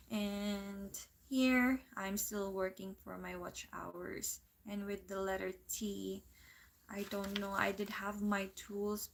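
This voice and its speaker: A woman with a Filipino accent, chatting casually on her livestream and pausing often to find her words. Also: mid-range voice, vocal fry, slight background noise. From Philippines. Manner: unhurried, searching for words, reads viewer comments aloud. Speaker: livestream host and part-time English teacher.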